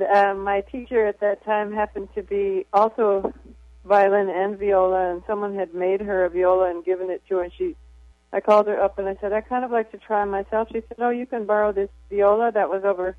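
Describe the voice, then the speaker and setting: A woman violist with a low speaking voice, a radio guest talking thoughtfully with many fillers over the phone. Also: no echo. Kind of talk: casual interview chat. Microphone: phone call. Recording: tinny phone line.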